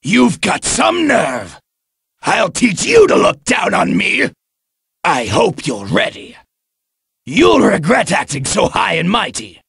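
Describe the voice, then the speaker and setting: A man voicing an arrogant, vicious alien warrior villain in an anime fighting game, gravelly and raspy, sneering and taunting as he shouts. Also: high-pitched, wildly swooping pitch, takes it slowly, no echo, exaggerated voice. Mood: menacing. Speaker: video game character voice actor.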